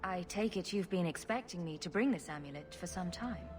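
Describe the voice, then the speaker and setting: A woman's voice: lowish voice, lively intonation, fairly quick, music in the background, natural voice. A woman playing a young human heroine in a fantasy video game, speaking in a dry, wry and direct manner. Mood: questioning.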